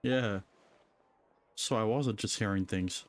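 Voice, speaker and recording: A man's voice: lowish voice, takes it slowly. A man casually commenting on a game as he streams, sometimes reading dialogue aloud.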